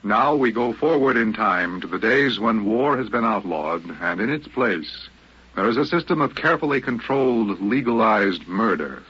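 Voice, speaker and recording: A man's voice: lowish voice, expressive, moderate pace, husky. A man announcing a story in a dramatic, scripted radio introduction. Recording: muffled vintage radio recording.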